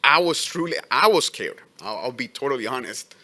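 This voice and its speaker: A man's voice: mid-range voice, big pitch swings, pushed and bright. Immigrant man with a non-native accent, earnest hearing witness, keen and tense in tone, stumbling over restarts. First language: not English. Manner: earnest, halting, many restarts. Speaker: letter carrier giving testimony. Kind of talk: testimony at a public hearing.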